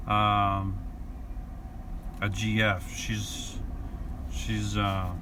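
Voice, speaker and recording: Easygoing New York man, forties, slow and low, live stream from a van with inverter hum behind. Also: completely flat delivery. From New York and New Jersey. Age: 40s. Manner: unhurried and easygoing, with many fillers and restarts. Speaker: vlogger. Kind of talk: live stream, reading viewer questions. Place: inside a van.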